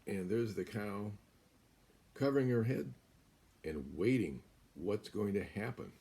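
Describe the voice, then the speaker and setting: Gravelly older American man reading a storybook. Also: fairly low pitch, expressive, measured pace, mellow. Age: 70s. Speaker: guest storyteller at a church story time. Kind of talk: reading a children's picture book aloud. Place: small room indoors.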